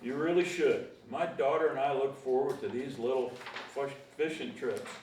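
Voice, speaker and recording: A man's voice: medium pitch, normal speed, darkish tone, distant mic, lightly acted. Very echoey, friendly middle-aged fisherman read by a man. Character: friendly local fisherman in his forties. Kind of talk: screenplay table read. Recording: very echoey room, off-mic, faint noise.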